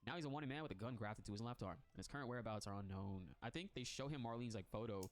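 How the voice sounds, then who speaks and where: A man hosting a casual reaction stream, congested from a cold, excitable and slangy, laughing often. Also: mid-range voice, rapid-fire. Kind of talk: casual reaction chat, reading text aloud.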